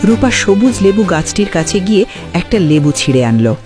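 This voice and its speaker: Male narrator for animated fairy tale; lively intonation, slightly high pitch, clear music bed. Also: moderate pace.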